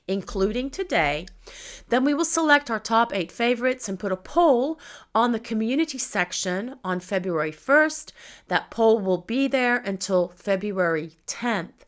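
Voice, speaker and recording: A middle-aged woman hosting a tarot reading, speaking in a calm, measured way and gently repeating her questions as she draws each card. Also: fairly high pitch. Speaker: tarot reader.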